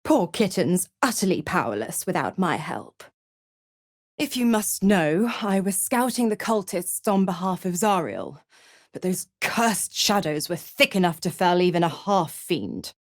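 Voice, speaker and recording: A woman's voice: on the low side, sing-song, measured pace, dry recording, studio quality, heavily acted. A woman playing a seductive, mocking devil villain in a video game, theatrical and taunting, with a cruel possessive streak. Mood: possessive, menacing. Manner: sultry, taunting, theatrical, drops into whispers. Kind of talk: dramatic video game voice acting.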